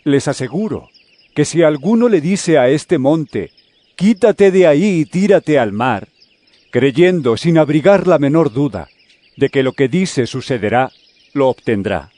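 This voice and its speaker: Casting: firm holy teacher, higher-voiced and lively in inflection, solemn declaiming, dramatized Bible audio. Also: male voice, a little slow, no room sound. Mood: earnest teaching. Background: music.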